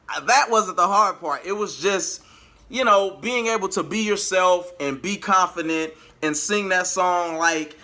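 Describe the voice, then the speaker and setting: Guy w a high bright voice just telling a story on his vlog, slangy, animated, does the convos. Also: a little hiss or hum. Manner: animated, slangy, re-enacts conversations. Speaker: vlogger and amateur singer.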